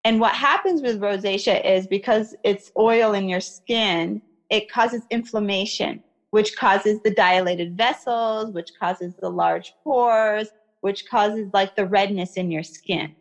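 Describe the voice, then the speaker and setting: A woman's voice: mid-range voice, slow pace, hardly any pauses. Woman explaining skincare, "you know" often. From Iraqi family, raised in America. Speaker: skincare expert guest. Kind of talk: conversational interview, explaining.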